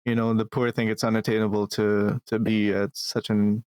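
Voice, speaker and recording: A man's voice: lowish voice, little pitch movement, normal speed, a little mellow, clean tone, no room sound. A man in his twenties, born in Pakistan, talking earnestly as a guest in a casual interview and often repeating words to stress a point. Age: 20s. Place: Calgary, Canada.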